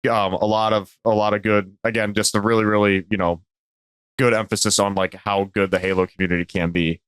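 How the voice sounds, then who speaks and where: A man's voice: lowish voice, little pitch movement, brisk, a bit bright, clear, resonant tone, no room sound. A man chatting casually as a guest on a podcast. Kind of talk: casual chat.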